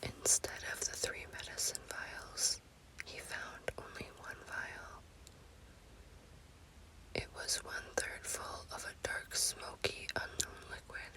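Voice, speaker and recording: A woman speaking in a breathy, unvoiced whisper in the style of an ASMR spooky storytelling host, with deliberate phrasing. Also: sharp tone, poor audio.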